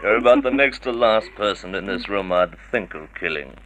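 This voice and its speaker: A man playing a jealous, menacing figure who hides behind smooth, sardonic sociability in a vintage radio drama. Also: low voice, expressive, moderate pace, very noisy. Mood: falsely jovial. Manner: forceful, sardonic, falsely jovial.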